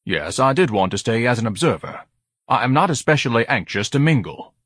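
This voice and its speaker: A man's voice: mid-range voice, big pitch swings, a little fast, a bit bright, slightly rough, no room sound, studio quality, pitched above the performer's own voice. A man voicing a pompous, haughty grown man who lectures his mother.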